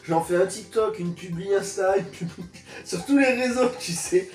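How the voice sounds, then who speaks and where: A man's voice: high voice, normal speed, a little mellow, echoey room, recorded from a distance, soft background music. A man with a stuffy nose from a cold, co-hosting a casual food tasting chat, joking and grumbling. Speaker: co-host of a food tasting channel.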